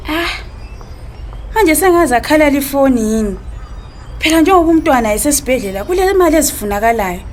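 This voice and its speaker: Worried Zimbabwean mother, acted by a woman, very lively pitch. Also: fast, slight background noise. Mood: worried, complaining. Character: worried, polite wife and mother, wronged, burdened. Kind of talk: radio drama acting in Ndebele.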